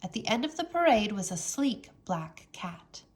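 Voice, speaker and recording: A woman reading a children's storybook aloud as a teacher, with expressive, dramatic narration. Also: mid-range voice, a little slow.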